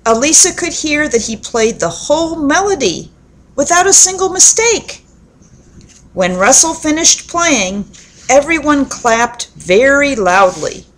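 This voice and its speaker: Slow, higher-voiced woman reading a children's story. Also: fairly bright tone. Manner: deliberate, enunciated storybook delivery with dramatic pauses. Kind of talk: expressive children's story narration.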